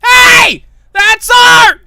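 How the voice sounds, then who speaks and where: A man voicing an outraged young woman assistant in a shrill scream. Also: fairly even intonation, extremely slow, vocal fry, shouting, heavy background noise, full character voice.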